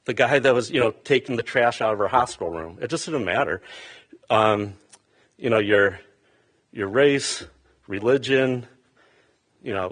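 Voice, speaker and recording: Son giving a halting eulogy. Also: male voice, medium pitch. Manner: halting, with many fillers and restarts. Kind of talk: funeral eulogy. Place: funeral chapel.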